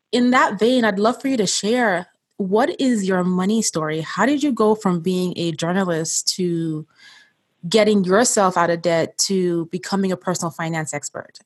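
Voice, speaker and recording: A woman hosting a podcast in a friendly interview style. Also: medium pitch. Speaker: podcast host.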